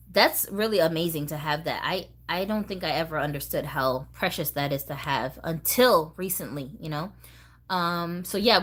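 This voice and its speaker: A middle-aged woman from the US East Coast hosting a casual vlog, talking to viewers in an expressive, slangy, chatty way. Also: lowish voice. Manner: animated, slangy, expressive, addresses viewers directly. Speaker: host of a doll collecting channel.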